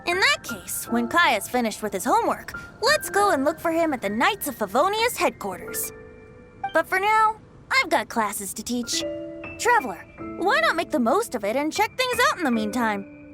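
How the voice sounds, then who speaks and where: High, lilting, playful boy bard. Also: sing-song, moderate pace, over music. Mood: grandiose, cheerful. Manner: theatrical, flowery, teasing. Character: playful mischievous young male bard, theatrical self-styled teacher. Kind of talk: animated video game voice acting.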